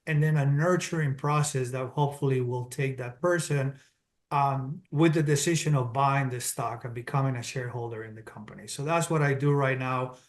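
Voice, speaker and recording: A middle-aged man from Colombia with a Colombian Spanish accent, a guest in an interview, explaining things in a steady, businesslike way and laying out his points in order. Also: mid-range voice. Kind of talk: interview answers, explaining step by step.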